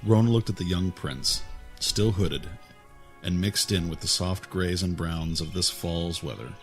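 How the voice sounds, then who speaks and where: A man's voice: low voice, unhurried. A man narrating fantasy fiction as a scripted audiobook. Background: music.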